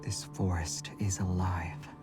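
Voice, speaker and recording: Enigmatic game loner, low, hushed and measured, ominous calm, music underneath. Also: male voice. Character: mysterious calm male loner of a dark forest. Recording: game audio with loud music.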